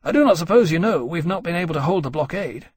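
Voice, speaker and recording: A man's voice: a little high, animated, fast, a little mellow, husky, no room sound, pitched above the performer's own voice. Audiobook captain, acted by a male narrator: frank, embarrassed, harried, raised male voice, dry sound. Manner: rueful, emphatic.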